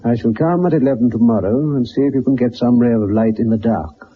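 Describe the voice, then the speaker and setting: An actor playing a genial, loyal gentleman with an English accent, well-spoken and literary, in the style of 1960s radio drama. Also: medium pitch, a little fast, close to an everyday voice. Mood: measured reading. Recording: muffled, noisy 1960s radio recording.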